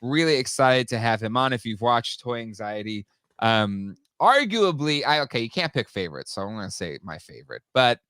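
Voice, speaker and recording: A man's voice: mid-range voice, very animated, normal speed, a bit bright, clear, resonant tone, no room sound. Upbeat male co-host, a bit stuffy-nosed, casual rambling delivery in a dry, close room. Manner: chatty, upbeat, many fillers and restarts. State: slightly nasal from a cold. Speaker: co-host of a live toy show. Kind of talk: casual live-stream chat.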